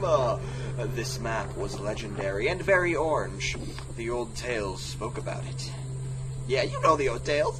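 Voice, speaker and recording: Theatrical man reading over clear background noise. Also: fairly high pitch, pushed and bright, low-quality recording. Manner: theatrical, comically self-interrupting. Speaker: reader and player of a text game. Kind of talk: mock-dramatic storybook reading with casual asides.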